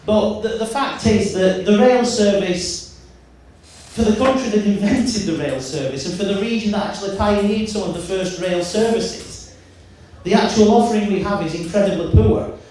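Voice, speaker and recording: A man with a strikingly high, carrying voice delivers a forceful campaign speech. He is picked up from well away from the microphone. His words bounce around a very echoey room with faint noise behind. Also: normal speed. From Wigan, England. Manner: loud, emphatic speaking voice with repeated restarts. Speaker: mayoral candidate. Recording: echoey hall, mic from far off.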